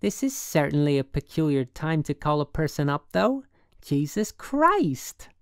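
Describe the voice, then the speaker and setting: A woman's voice: lowish voice, animated, slow, smooth, no room sound, studio quality, voice pushed up. A woman voicing a brassy working gal in an audiobook, slangy and suspicious, with affectedly genteel airs. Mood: surprised, warming.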